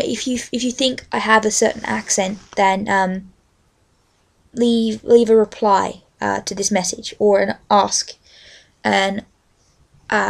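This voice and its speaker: Creaky-voiced young Australian woman. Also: medium pitch, darkish tone. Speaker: vlogger. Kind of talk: casual rambling chat with a read-aloud book passage.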